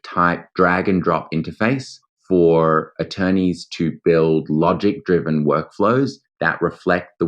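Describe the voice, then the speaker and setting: A man's voice: low voice, highs cut off. A man with an Australian accent, explaining things in an articulate, structured way as an interview guest. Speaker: interview guest, legal tech founder.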